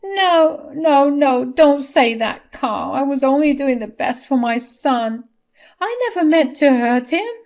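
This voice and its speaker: A woman's voice: mid-range voice, normal speed, few pauses, lightly acted. A woman voicing a manipulative, desperate older mother who pleads and protests dramatically in a story. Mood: tearful pleading.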